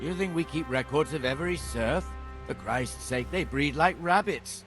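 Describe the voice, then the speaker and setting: An actor playing a crotchety, weary old record keeper in a video game, grumbling in a patronizing way. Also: male voice, a little high, normal speed, fairly bright tone, soft background music, close to an everyday voice. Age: older. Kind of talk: video game cutscene acting.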